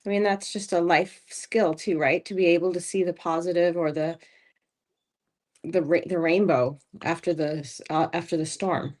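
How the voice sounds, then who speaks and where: A woman's voice: lowish voice, fairly even intonation, normal speed, a little mellow. Chatty, teasing woman co-host. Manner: chatty, teasing, says "like" a lot. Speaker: podcast co-host. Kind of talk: casual chat.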